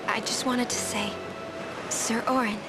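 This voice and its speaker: An actress playing a gentle, resolute young summoner woman in a video game, speaking in measured, earnest, halting phrases. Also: on the high side, steady tone, close to an everyday voice, low-quality recording. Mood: earnest, grateful. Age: young adult. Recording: game dialogue under music.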